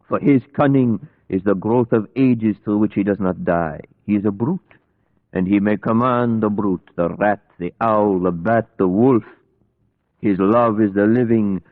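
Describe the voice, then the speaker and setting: Grave scientist-hunter, low and deliberate, radio horror play, echoing narrow-band sound. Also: male voice. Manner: solemn, deliberate, formal old-world phrasing. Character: grave older foreign vampire hunter and scientist, steadfast and pious. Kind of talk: old-time radio drama acting. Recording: vintage radio, tinny narrow band, echoey hall reverb.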